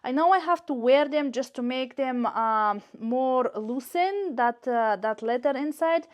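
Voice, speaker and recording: A woman's voice: high voice, animated, a little slow. A woman with a Bulgarian accent, native in Bulgarian, chatting casually to camera as a shopping vlogger, gushing and repeating words for emphasis. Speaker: YouTube shopping and unboxing vlogger.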